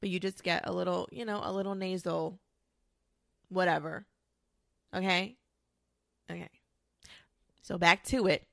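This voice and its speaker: A woman's voice: lowish voice, steady tone, measured pace, creaky, pauses often, a bit bright, no room sound, studio quality. A young woman with a congested, nasal voice from a cold, giving frank, chatty advice as a podcast host and swearing casually.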